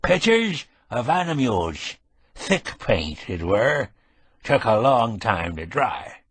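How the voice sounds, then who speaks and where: A man voicing a frail old rural caretaker who speaks slowly in short, country-grammar sentences, with a nasal, juicy lisp. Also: medium pitch, very animated, husky, no room sound, full character voice. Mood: furtive. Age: older. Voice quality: nasal resonance and juicy, lisping sibilance.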